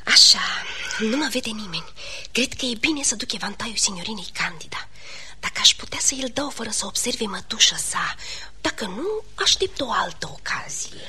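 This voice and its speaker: A saucy, stubborn young peasant woman of classic radio comedy. Her voice is high and bright, with lively swings in pitch and defiant, teasing comebacks. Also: in a whisper, soft background music, exaggerated voice. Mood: secretive muttering. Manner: saucy, defiant, quick to retort.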